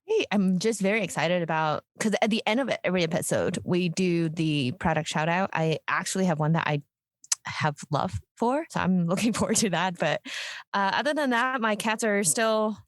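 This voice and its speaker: Chatty woman cohost from hong kong, kinda low voice, dry close mic. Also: moderate pace, dry recording. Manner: chatty, laughs often, many fillers. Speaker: podcast co-host. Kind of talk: casual chat.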